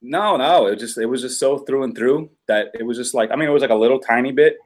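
A man in his ordinary middle pitch talking casually. He rambles through his story in a relaxed, unhurried way, full of "like" and "you know". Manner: laid-back, rambling storytelling with many fillers. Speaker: police officer guest. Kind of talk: casual chat.

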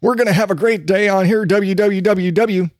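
A man's voice: high-pitched, fairly even intonation, moderate pace, a little mellow, no echo, pitched above the performer's own voice, lightly acted. A man putting on an over-the-top male radio DJ voice in a dry, close recording. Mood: hyped. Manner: over-the-top announcer delivery. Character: booming cheesy male radio DJ.

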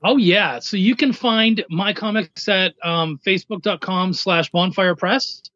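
A man's voice: high voice, measured pace, sharp tone, dry recording. A man speaking casually as a writer and editor being interviewed on a podcast, in slightly dull, lo-fi sound.

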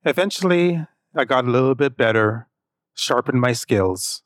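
A man's voice: medium pitch, expressive, normal speed, no room sound, studio quality. A man hosting a podcast, introducing and interviewing a guest. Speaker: podcast host.

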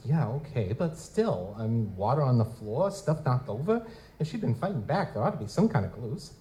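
A wry goblin private eye, acted by a man in a noir radio play, mid-pitched and mellow. He talks in casual, streetwise slang, like a working detective telling his case. There is some noise behind the voice. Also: big pitch swings, normal speed, close to an everyday voice, low-quality recording. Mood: probing, dry. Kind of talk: live audio drama dialogue and first-person narration. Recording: noticeable background noise.

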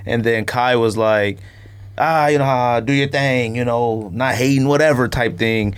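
A man's voice: mid-range voice, a little slow, clear, resonant tone. A man chatting casually as a podcast host, talking in a slangy, informal way. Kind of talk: casual sneaker podcast chat.

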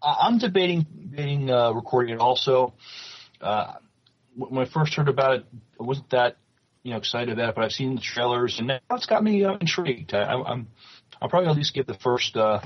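A man co-hosting a podcast, chatting and reading news in a halting way, stumbling over and repeating words. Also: medium pitch, animated. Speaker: podcast co-host. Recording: slightly dull lo-fi audio.

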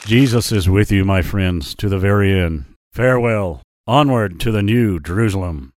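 A man voicing a gentle but serious lion messenger in a children's story, preaching earnestly. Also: fairly low pitch, normal speed, dry recording, clean studio sound, close to an everyday voice. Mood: encouraging.

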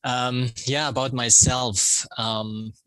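A man in his fifties with a German accent, speaking as a podcast guest. His voice is bright and forceful, and it is recorded very dry and close. He talks reflectively, pausing often as he thinks aloud. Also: medium pitch, little pitch movement, dry recording, rough recording. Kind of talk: casual conversational interview.

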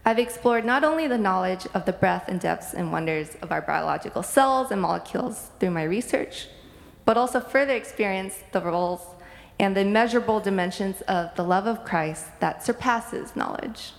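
Young woman, gravelly fry, earnest reflective testimony, long church reverb. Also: medium pitch, animated, normal speed. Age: young adult. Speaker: graduate student speaker in church service. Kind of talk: prepared testimony. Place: church sanctuary.

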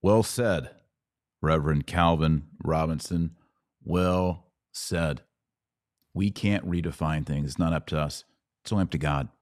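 Man with a very low voice, emphatic podcast commentary, punchy delivery. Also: unhurried, a little mellow. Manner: emphatic, rising to exclaim on key points. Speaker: podcast host. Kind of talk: commentary on played clips.

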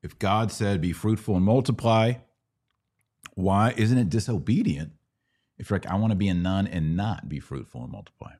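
A man's voice: fairly low pitch, moderate pace, a little mellow. A middle-aged American man hosting a conversational Bible Q&A, teaching in a didactic way with questions to check understanding. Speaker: podcast host and Bible teacher.

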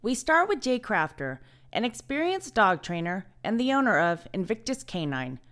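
A woman host reading scripted narration in a clear, expressive way. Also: medium pitch, moderate pace.

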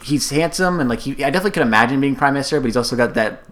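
A man's voice: mid-range voice, animated, racing, a bit bright. A man hosting a casual chat, rambling and restarting his sentences with frequent chuckles. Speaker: podcast host.

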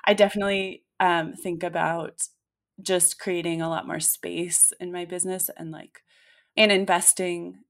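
A woman's voice: lowish voice, level tone, a little slow. A woman in her late twenties, co-hosting a podcast in casual chat, talking freely with many fillers and restarts. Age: late 20s. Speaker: podcast co-host.

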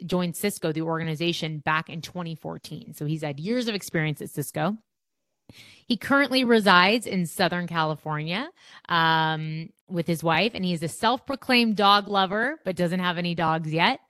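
A woman's voice: lowish voice, a bit bright, dry recording, studio quality. A woman hosting a podcast in an upbeat, conversational presenting style. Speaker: podcast host.

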